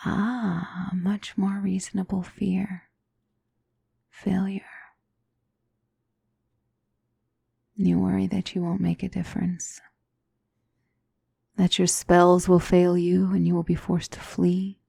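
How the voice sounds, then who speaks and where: A woman playing a gentle demonic mentor in an ASMR fantasy roleplay, speaking softly with formal, measured, reassuring diction and often near a whisper. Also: fairly low pitch, little pitch movement, gravelly, slightly rough, no echo, full character voice. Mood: gentle concern. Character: gentle demonic tutor, female mentor to a young royal.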